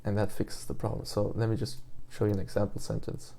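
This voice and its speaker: A young German-accented man, just awake, rambles through a casual rant full of ums and restarts. His voice sits a little low, with a heavy gravelly fry and a very dark, mellow tone. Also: flat delivery, a little fast, a little hiss or hum. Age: 20s. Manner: meandering, hesitant, many fillers and restarts. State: just woke up. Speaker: language learner vlogger.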